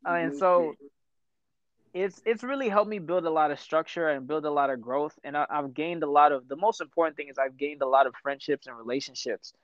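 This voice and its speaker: A man in his twenties, born in Ethiopia, chatting casually as a podcast guest, slangy and quick to laugh. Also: a little high, no room sound, muffled recording. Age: 20s. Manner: relaxed, slangy, laughs often. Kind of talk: casual chat.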